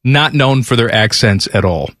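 A man's voice: medium pitch, expressive, normal speed, a bit bright, no echo, clean studio sound. A man hosting a sports talk show, speaking in casual, bantering conversation. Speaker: sports radio host.

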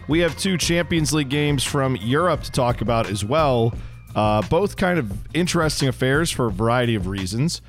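A hoarse man in his thirties, co-hosting a casual soccer podcast from his home basement, telling rambling, self-deprecating stories. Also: mid-range voice, animated, normal speed, soft background music. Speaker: podcast co-host. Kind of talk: casual sports chat.

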